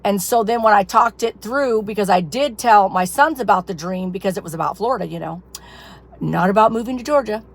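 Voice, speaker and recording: Woman, hoarse, losing her voice, rambling testimony, lots of ums, mid pitch. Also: brisk. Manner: rambling, filler-heavy storytelling. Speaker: ministry leader. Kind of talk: casual personal testimony to camera.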